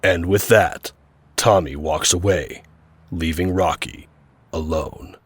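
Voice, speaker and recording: A man's voice: low voice, slow, husky, no echo. A man reading scripted narration as the narrator of an audio drama.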